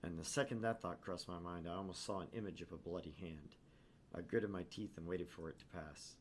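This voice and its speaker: A man voicing a haunted young male student who narrates his anguish in a brooding, measured way in a visual novel. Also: sits low, close to an everyday voice. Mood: brooding, shaken. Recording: clear background noise.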